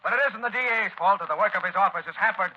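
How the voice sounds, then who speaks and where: An actor playing a harried, gruff police commissioner in old radio drama, brusque, emphatic and impatient. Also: male voice, sits high, racing. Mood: defensive, emphatic. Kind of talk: 1930s radio drama acting. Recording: muffled, hissy old radio broadcast.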